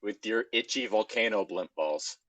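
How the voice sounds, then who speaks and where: A man's voice: lowish voice, moderate pace, fairly bright tone, no echo. A man reading a story aloud and bantering casually, in an amused, exasperated way, stumbling now and then. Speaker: podcast co-host reading a fan fiction aloud.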